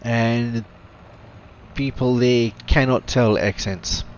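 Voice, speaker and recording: A man's voice: mid-range voice, fairly even intonation, slow. A man with a South African accent, chatting casually while streaming a game, rambling and correcting himself as he goes. His mic sounds dull and lo-fi, with faint hiss. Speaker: streamer host. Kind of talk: casual gaming chat.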